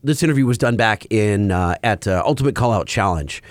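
A hoarse man co-hosting a podcast, chatting casually and rambling through restarts. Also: on the low side, expressive, fairly quick, no room sound. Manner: rambling, self-correcting. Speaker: podcast co-host. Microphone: headphones and studio mic.

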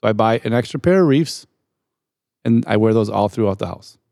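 A man's voice: lowish voice, lively intonation, a little fast, creaky, mellow, no room sound, clean studio sound. A man on a group podcast bantering casually, tossing in joking remarks. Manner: joking, interjecting. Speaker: podcast panelist.

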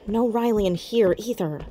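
An actress as a curious, determined young woman investigating in an audio drama. She speaks in an urgent, hushed and natural way at a middle pitch, with clear noise behind her. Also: unhurried, natural voice. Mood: focused, anxious.

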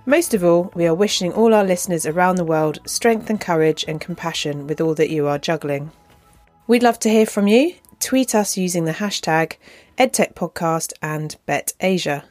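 A woman hosting a podcast, reading a measured, prepared introduction. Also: lowish voice, darkish tone, soft background music. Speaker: podcast host.

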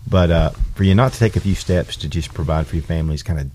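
A man's voice: low-pitched, fast talker, darkish tone, slight background noise. A man in casual conversation, earnestly retelling a friend's story and quoting him with emphasis.

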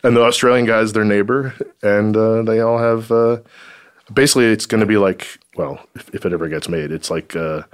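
A comedy writer chatting casually on a podcast, rambling and laughing often between phrases. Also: male voice, on the low side, fairly quick, clear, resonant tone. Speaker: comedy writer and performer guest.

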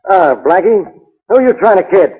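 An actor playing a gruff, suspicious police inspector in 1940s radio drama, curt and bossy. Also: male voice, high voice, lively intonation, fairly quick. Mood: skeptical. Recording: muffled old 1940s radio recording.

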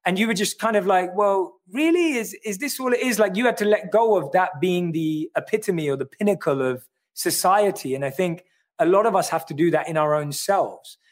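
A man with a London accent who talks through his ideas in an earnest, reflective way. His voice sits a little high for a man. He speaks as an author in a livestream chat. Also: lively intonation, moderate pace. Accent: London English. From London, England. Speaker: author and guest. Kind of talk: livestream conversation.

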